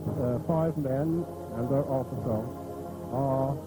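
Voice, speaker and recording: Slow, solemn Irish male radio commentator. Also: medium pitch, steady tone, poor audio. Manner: grave, measured, pausing between short phrases. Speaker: radio outside-broadcast commentator. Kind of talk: solemn live funeral commentary, mid-century radio. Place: outdoors at a funeral procession. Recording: old reel-to-reel radio tape, band music behind. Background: loud marching band music.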